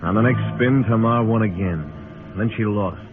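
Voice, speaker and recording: Old tinny western radio show, guy playing a terse deadpan marshal, low voice, music behind. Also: moderate pace, natural voice. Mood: grim narrating. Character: tough, laconic frontier marshal, wounded but unbending. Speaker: lead actor and narrator. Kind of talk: old-time radio western acting and narration.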